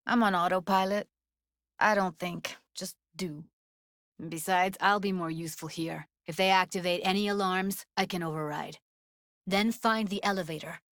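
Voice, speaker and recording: A woman's voice: fairly low pitch, fairly even intonation, normal speed, fairly bright tone, dry recording, studio quality, lightly acted. An actress playing a tough, sardonic, emotionally raw young woman techie in a video game, talking in a blunt, slangy, streetwise way. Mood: focused, businesslike. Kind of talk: naturalistic video game voice acting.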